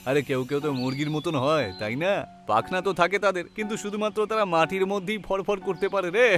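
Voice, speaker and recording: Man acting a high-pitched mocking young villager. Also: fairly bright tone, faint music behind. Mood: boastful, mocking. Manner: taunting, drawn-out teasing. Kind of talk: animated cartoon story voice acting.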